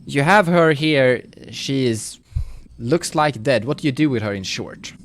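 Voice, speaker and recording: A man acting as game master, explaining and wrapping up a role-playing session with halting, often reworded phrasing. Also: medium pitch, lively intonation.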